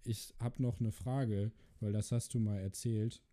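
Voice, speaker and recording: Man asking rambling questions on a podcast, very dark tone. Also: lowish voice, fairly even intonation, a little slow. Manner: rambling, hesitant with many fillers. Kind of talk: casual chat.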